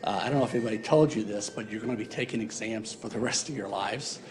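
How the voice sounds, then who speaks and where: A man's voice: fairly low pitch, quick pace, a little mellow, a little hiss or hum. A man giving a university embryology lecture in a casual, joking way, with many fillers. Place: lecture hall.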